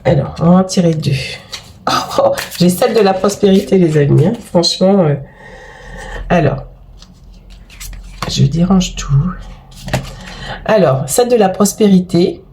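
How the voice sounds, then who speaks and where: A woman's voice: fairly low pitch, measured pace, pauses often, warm tone, slight background noise. A woman with a cold giving a chatty, effusive tarot card reading to her viewers, full of exclamations and fillers. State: has a cold. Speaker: tarot and oracle card reader.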